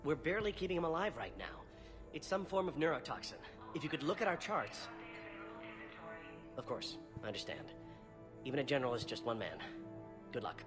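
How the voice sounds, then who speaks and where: An actor playing an earnest, hard-pressed doctor in a video game, speaking with urgency. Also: male voice, mid-range voice, big pitch swings, fairly quick, long pauses, over music, natural voice. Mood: pleading. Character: earnest, hard-pressed male doctor pleading for his patient. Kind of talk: video game cutscene dialogue.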